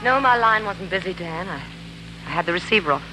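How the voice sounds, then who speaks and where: A woman's voice: on the low side, expressive, a little fast, bright, forceful tone. A woman playing a sceptical, independent career woman in a 1940s radio drama, with an American accent and a dry, clipped manner. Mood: guarded, apologetic. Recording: noisy, humming 1940s radio recording.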